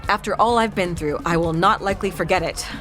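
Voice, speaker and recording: A woman playing a desperate, meticulous captive woman pleading earnestly and haltingly in a fantasy audio drama. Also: lowish voice, natural voice. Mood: weary, grim. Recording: loud music behind the voice. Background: music.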